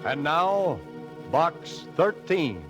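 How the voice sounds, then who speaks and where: A man's voice: fairly high pitch, very animated, drawn-out words, dull audio, heavily acted. A man announcing a 1940s radio drama in a dramatic, declamatory style. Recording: old radio recording, loud orchestral music.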